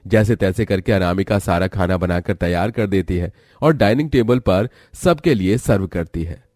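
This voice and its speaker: A man tells a story as narrator. His voice is on the low side and follows a script. The recording is dry, with little room sound. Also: normal speed, a little mellow, dry recording.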